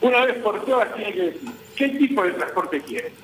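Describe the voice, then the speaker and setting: Argentine male interviewee, high and sharp-edged, insistent spokesperson delivery, roomy call sound. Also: lively intonation, normal speed, a little hiss or hum. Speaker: guest, transport business association vice president. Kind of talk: live news interview by phone.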